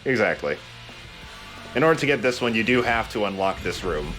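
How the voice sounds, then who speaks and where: A man's voice: fairly low pitch, sing-song, fairly quick, fairly bright tone. A man hosting a let's play with casual, informal commentary, enthusiastic about small details. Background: game music.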